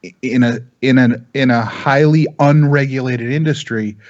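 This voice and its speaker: A man's voice: mid-range voice, steady tone, normal speed, a little mellow, muffled recording. An American man talking casually and emphatically on a panel, repeating phrases as he makes his points. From United States. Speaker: vaping talk show panelist. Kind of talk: opinionated casual panel discussion.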